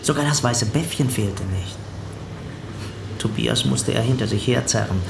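A man's voice: mid-range voice, a little slow, vocal fry, background noise. A man acting a dutiful parish helper in a radio drama, giving a meticulous, matter-of-fact witness account. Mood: earnest recounting. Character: dutiful male parish helper recounting to an investigator. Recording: roomy sound with faint background ambience.

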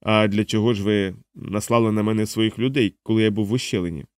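A man's voice: lowish voice, fairly even intonation, fast, a little mellow, clean tone, no room sound, clean studio sound, lightly acted. A man voicing a defiant, desperate castaway who speaks bitterly and accusingly in an audiobook story. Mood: sardonic, wary. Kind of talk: audiobook character dialogue.

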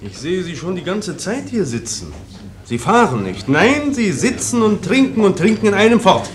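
A high-voiced, irascible army lieutenant. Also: male voice, big pitch swings, normal speed. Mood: irritated, commanding. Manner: curt, commanding, exasperated. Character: irascible, bullying army lieutenant barking at subordinates. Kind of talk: radio play acting.

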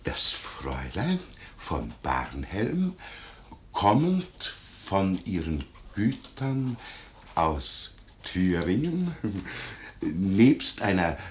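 An actor playing a fawning, nosy innkeeper in a classic comedy radio drama, with a hesitant, pedantic and obsequious manner. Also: male voice, low-pitched, huge pitch swings, extremely slow, reverberant, a little hiss or hum, heavily acted.

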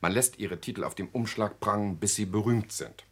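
An actor playing a cynical, worldly journalist and jovial schemer in a radio drama, sardonic and theatrical. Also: male voice, fairly low pitch, normal speed, husky, dry recording.

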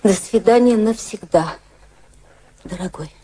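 A woman's voice: medium pitch, measured pace, dark tone, husky. A woman acting in a radio drama, playing an elegant, worldly society lady with a refined, intimate manner. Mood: tender, resigned. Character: elegant high-society English lady, poised, worldly, secretly passionate. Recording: radio play with music behind.

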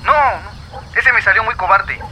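A man's voice: high voice, lively intonation, a little slow, crowd noise, poor audio. A man playing an irritable, scheming village boss in a radio drama. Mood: irritated, scheming. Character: irritable, scheming village strongman plotting against opponents.

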